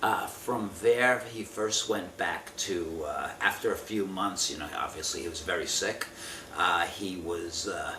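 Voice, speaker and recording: A man in his fifties answering an oral history interview from memory, speaking in a halting, careful, measured way. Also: low-pitched, bright, forceful tone. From Israel. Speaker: interviewee giving family testimony. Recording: roomy, distant mic with faint noise.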